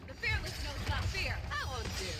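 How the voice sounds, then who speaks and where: Very high-pitched cocky young girl fighter. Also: huge pitch swings, moderate pace. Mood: confident. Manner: shouted battle cries, exuberant. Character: spirited young girl fighter, cocky and eager, serving a master. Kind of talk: anime game battle voice lines. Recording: loud game music over the voice.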